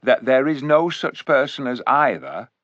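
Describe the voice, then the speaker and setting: A man's voice: mid-range voice, big pitch swings, unhurried, fairly bright tone, dry recording, pitched above the performer's own voice. Casting: a man playing a purring, cunning tomcat in a storybook, silky and sly, dull recording. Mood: scheming.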